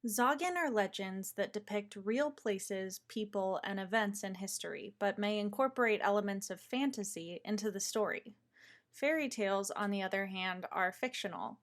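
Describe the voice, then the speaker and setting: A woman reading scripted narration in a measured, explanatory way, introducing material for an audience. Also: mid-range voice, a bit bright.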